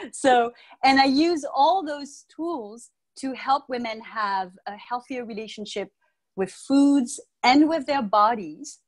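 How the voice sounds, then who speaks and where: A middle-aged woman from the United States, raised in Martinique, talks as an interview guest over a video call. She explains things calmly and in measured steps. She speaks into an earphone mic in a room at home. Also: fairly high pitch. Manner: calm, thoughtful, measured explaining. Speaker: guest, Ayurvedic practitioner. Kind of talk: interview answers.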